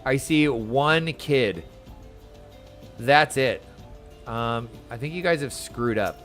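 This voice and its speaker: A man's voice: medium pitch, expressive, takes it slowly, fairly bright tone. A man doing casual game playthrough commentary, with wry, joking asides. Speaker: gaming video host. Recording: music under the voice.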